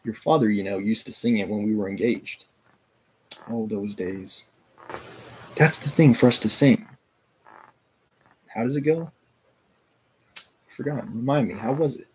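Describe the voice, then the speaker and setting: A man voicing a dying, delirious mother, hoarse and gasping between words, in a read-aloud novel. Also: fairly low pitch, unhurried, long pauses, a little mellow, slight background noise, close to an everyday voice, low-quality recording. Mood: feverish.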